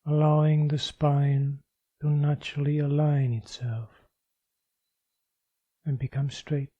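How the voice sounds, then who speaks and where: A man leading a guided meditation as a teacher, speaking calmly and in measured short phrases with long pauses. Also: on the high side, no echo, dull audio.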